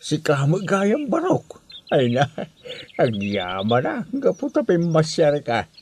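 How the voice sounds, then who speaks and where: A man playing an emotional elderly father in an Ilocano radio drama, with an Ilocano Filipino accent and a halting, sighing delivery. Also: fairly high pitch, wildly swooping pitch, a little mellow, a little hiss or hum, full character voice. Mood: surprised, grateful.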